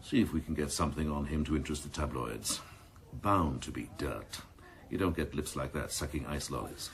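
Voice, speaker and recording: An actor playing a cynical, scheming senior detective in a radio drama, talking with dry, sneering mock courtesy. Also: male voice, rumbling low, moderate pace. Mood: dry, sly. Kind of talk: 1990s radio drama acting.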